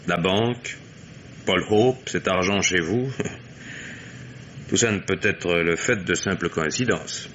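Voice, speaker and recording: An actor playing a shrewd, self-assured investigator in an old French radio mystery, with a measured, probing, faintly ironic delivery. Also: male voice, deep voice, a bit bright. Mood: firm, insistent. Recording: dull, hissy old radio recording.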